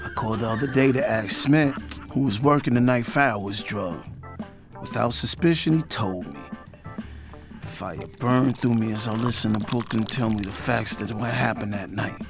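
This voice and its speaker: A man's voice: medium pitch, moderate pace, lightly acted. Man acting a brooding investigator over music. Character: brooding man on a private hunt for answers, narrating. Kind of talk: first-person audio drama narration. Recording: music behind the voice.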